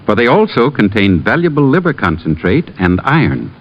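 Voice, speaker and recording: Lively low-voiced radio pitchman. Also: normal speed. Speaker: commercial pitchman. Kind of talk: 1940s radio advertising read. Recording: muffled old radio recording.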